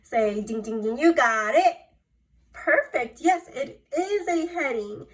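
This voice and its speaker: A woman's voice: sits high, animated, slow. A woman teacher reading aloud to young children, encouraging them and prompting with questions and cues. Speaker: elementary school teacher.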